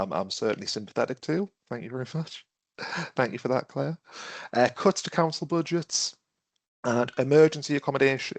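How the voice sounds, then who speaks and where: A man's voice: medium pitch, no room sound. A man with a cough, running an online training session, thinking aloud and putting questions to his audience. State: has a cough and is losing his voice. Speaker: webinar trainer. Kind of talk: interactive online training talk.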